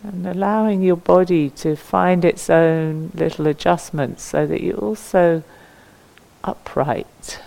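A woman leading a guided meditation as a teacher, speaking gently and leaving long pauses between phrases. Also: on the low side, gravelly, poor audio.

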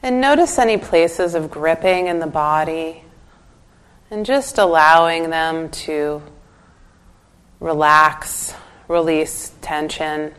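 A woman leading a guided meditation as a teacher, giving calm, gentle instructions with pauses. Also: low-pitched.

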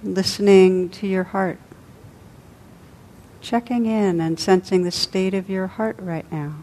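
A woman meditation teacher leading a guided meditation, speaking softly and unhurriedly with long pauses between phrases. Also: mid-range voice, steady tone.

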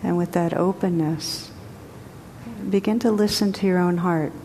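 A woman teacher guiding a group meditation in a gentle, unhurried way with long pauses. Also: steady tone. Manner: slow, gentle, spacious pauses. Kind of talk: guided meditation. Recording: long hall reverb, faint rumble.

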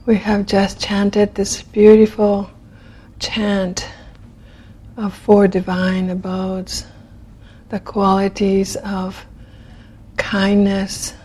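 A woman leading a guided meditation in a gentle, unhurried manner, leaving long pauses between phrases. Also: medium pitch, slightly rough. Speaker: meditation teacher.

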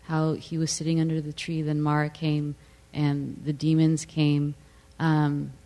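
An audience member at a meditation talk asking a question, with a loud voice, hesitant and circling back. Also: mid-range voice, monotone, measured pace.

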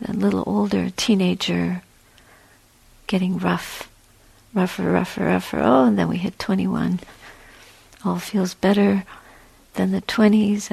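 A woman meditation teacher speaks very slowly and gently, leaving space between short phrases. Her soft voice is husky with a creaky edge, guiding listeners step by step in a measured way. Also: mid-range voice, dry recording, low-quality recording. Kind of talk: guided meditation talk.

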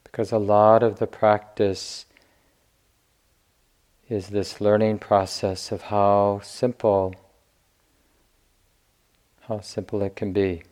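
A man teaching meditation, giving gentle guided instruction in short phrases with long pauses. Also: on the low side, flat delivery.

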